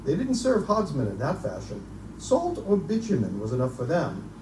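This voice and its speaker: Man as high-voiced, theatrical Victorian scholar. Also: wildly swooping pitch, moderate pace. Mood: musing, fascinated. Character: eccentric, erudite Victorian Oxford gentleman obsessed with Egyptian relics. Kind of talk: live stage reading of gothic pulp horror. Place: live performance in a bookstore. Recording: echoey, distant live recording, faint noise.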